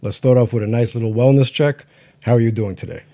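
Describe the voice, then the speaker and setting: A man's voice: mid-range voice, quick pace, mellow. A man hosting a podcast interview, asking questions and answering with short, encouraging replies. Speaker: podcast host. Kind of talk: casual interview chat.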